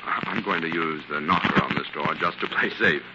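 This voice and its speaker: An actor playing a brisk, commanding crime-fighting detective in 1940s radio drama style, firm and clipped. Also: male voice, rumbling low. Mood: businesslike. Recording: noisy, muffled 1940s radio recording with clicks.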